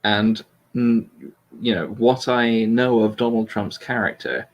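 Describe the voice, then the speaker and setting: A man's voice: fairly low pitch, measured pace, lo-fi sound. A man hosting a casual livestream political discussion in an analytical way, qualifying his points carefully. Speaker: livestream host.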